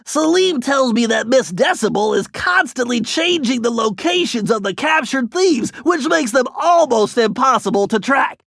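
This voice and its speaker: High-pitched creaky nerdy cartoon turtle. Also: male voice, animated, moderate pace, few pauses, fairly bright tone, no echo, studio quality, exaggerated voice. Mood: explaining, studious. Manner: precise, bookish, earnest mission briefing. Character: nerdy, brainy, anxious young male cartoon turtle gadget expert. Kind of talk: animated video game voice acting.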